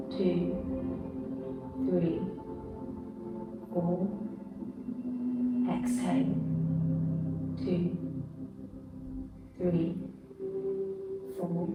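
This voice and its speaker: Slow-talking woman guiding meditation in echoey room. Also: on the low side. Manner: soothing, measured instruction. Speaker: yoga teacher. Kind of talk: guided breathing meditation. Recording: very echoey room, distant mic, loud music. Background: music.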